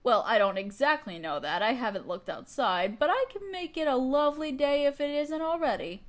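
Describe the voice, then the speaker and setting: Woman performing a haughty evil sorceress queen, higher-pitched female voice, theatrical, condescending, commanding, audio roleplay monologue. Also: expressive, moderate pace. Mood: smug, playful.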